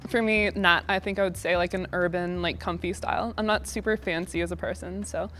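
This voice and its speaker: A woman from Washington on a dating show, chatting in a relaxed, easygoing way. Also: fairly low pitch, brisk, a little hiss or hum.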